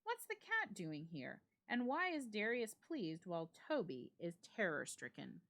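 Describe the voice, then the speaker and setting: Female reader as uneasy gentleman host in classic ghost storybook; puzzled, emphatic, questioning, with swinging intonation. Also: mid-range voice, wildly swooping pitch, unhurried, exaggerated voice. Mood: puzzled, insistent.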